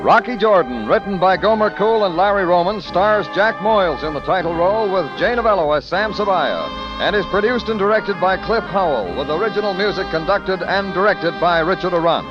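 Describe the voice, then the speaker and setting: A male old-time radio announcer with a high, very animated voice and polished, emphatic delivery. The muffled broadcast recording has prominent music behind him. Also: brisk, hardly any pauses. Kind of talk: 1940s-50s radio announcing and ad reads.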